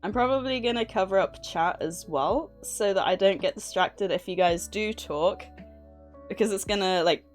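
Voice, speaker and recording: A woman's voice: mid-range voice, moderate pace. Nervous, giggly woman livestreamer over music. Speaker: livestream host. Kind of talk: casual livestream chat. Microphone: streaming microphone at a desk.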